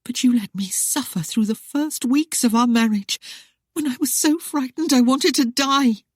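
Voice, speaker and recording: A woman voicing a proud young noblewoman who teases, pleads and accuses in a high, emotional voice. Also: normal speed, no room sound, studio quality. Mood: accusing.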